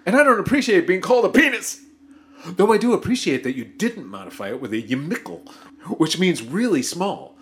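A man's voice: high voice, big pitch swings, fairly quick, fairly bright tone. A man doing a whiny greedy spaceship crewman. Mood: greedy, giddy. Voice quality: whiny, swings into giddy squeals. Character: greedy whiny male crewman, excitable and scheming. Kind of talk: comedy audio drama.

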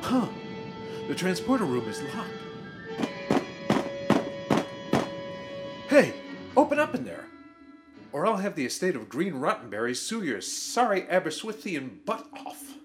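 A man's voice: a little high, big pitch swings, normal speed, loud music behind the voice. An actor playing a greedy, self-important starship crewman in a sci-fi parody audio drama, whiny and melodramatic. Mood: exasperated, demanding.

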